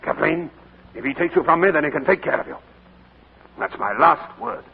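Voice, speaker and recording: Casting: a gravelly, stern old man in a 1940s radio play, tinny hissy broadcast. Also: fairly high pitch, lively intonation, moderate pace, exaggerated voice. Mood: bitter. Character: bitter, unforgiving older uncle.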